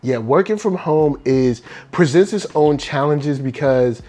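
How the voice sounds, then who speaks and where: A man's voice: a little high, expressive, a little slow, warm tone. A man and father chatting casually on a podcast, laid-back and joking, full of street slang. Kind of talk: casual chat.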